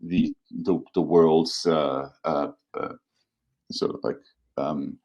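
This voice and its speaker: A man talking as an academic podcast co-host, explaining things informally in a halting, thoughtful way. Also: extremely low pitch, steady tone, vocal fry, highs cut off.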